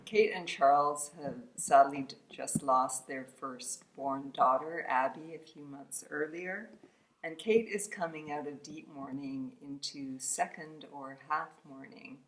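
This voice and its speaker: Low-pitched woman author presenting her novel. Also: low-quality recording. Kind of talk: informal spoken introduction to a book.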